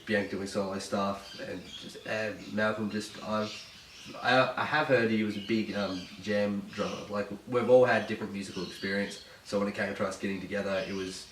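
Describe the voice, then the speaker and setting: A man's voice: on the low side, steady tone, a little fast, fairly bright tone. A man with a nasal, stuffy voice, chatting casually in a band interview, joking and rambling with lots of fillers. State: stuffy from hay fever. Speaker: band bassist. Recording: echoey room, distant mic, faint noise.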